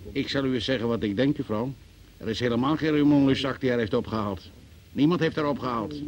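An actor playing a relentless, accusing interrogator in a Dutch radio play, deliberate and insistent. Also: male voice, mid-range voice, dull audio, natural voice. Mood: quietly accusing. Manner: deliberate, insistent, coolly confrontational. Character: relentless, accusing male interrogator cornering a suspect.

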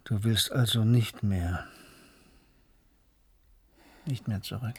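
A man's voice: on the low side, steady tone, slow pace, darkish tone, a little hiss or hum. An actor playing an absent-minded, troubled scientist in a German radio drama, evasive and hesitant, often breaking off and repeating his words. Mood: awkward, preoccupied.

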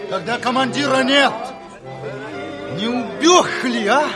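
An actor playing an anxious, easily riled peasant soldier, folksy and nagging, repeating himself, in 1970s Soviet radio drama style. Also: male voice, very high voice, slow. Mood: doubtful, suspicious. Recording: noisy crowd and music behind.

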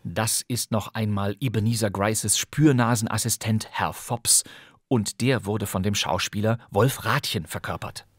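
A middle-aged male host with a lowish voice presents in a playful, scripted way. He uses wry emphasis and theatrical pauses. The sound is close and dry, like a studio. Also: breathy edge, no room sound. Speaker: podcast host. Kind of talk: scripted, playful presentation on radio drama history.

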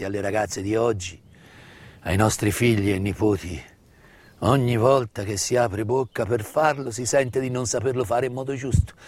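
A man delivers a solemn theatrical monologue, with emphatic, rhetorical phrasing and deliberate pauses. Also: medium pitch. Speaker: stage actor performing his own monologue. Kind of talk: dramatic theatrical monologue, solemn commemorative storytelling. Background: live musical accompaniment.

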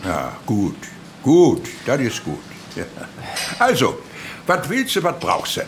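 An actor playing a jovial, wily older uncle with connections, talking in a folksy, colloquial way in a radio drama. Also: male voice, mid-range voice, huge pitch swings, slow, slight background noise, exaggerated voice. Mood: chummy, evasive.

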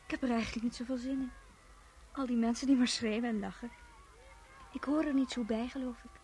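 Actress as a gentle, high-voiced young woman. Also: steady tone, brisk, slight background noise, natural voice. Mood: withdrawn. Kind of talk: Dutch radio play acting.

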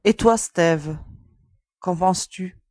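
A woman playing a cautious, protective young spaceship crew member in an audio sci-fi drama, speaking in a measured, firm way. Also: lowish voice, a little mellow, dry recording, studio quality. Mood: wary, firm.

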